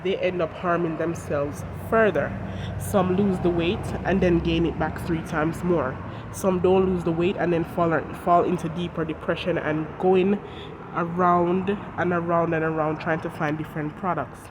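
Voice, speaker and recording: A congested voice, stuffed up from a cold, delivers an earnest devotional talk with scripture and direct encouragement to viewers. The pitch sits in an ordinary middle range. Clear outdoor traffic noise runs underneath the whole recording. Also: fairly even intonation, normal speed. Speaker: host of a devotional channel. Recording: noisy outdoor traffic background.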